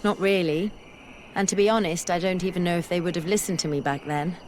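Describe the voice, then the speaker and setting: Low-voiced, earnest young woman scholar, acted. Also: steady tone, a little fast, a little mellow, a little hiss or hum, lightly acted. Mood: candid, reflective. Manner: earnest, articulate. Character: keen, curious young woman scholar of plants and animals. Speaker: companion character. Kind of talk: fantasy game dialogue acting.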